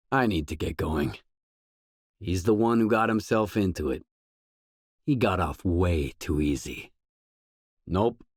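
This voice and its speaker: A man's voice: deep voice, breathy edge, no echo, full character voice. An actor playing a guarded, determined male stalker mercenary in a video game, speaking tersely and matter-of-factly with dry delivery. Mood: wary, dry. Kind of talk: video game character dialogue lines.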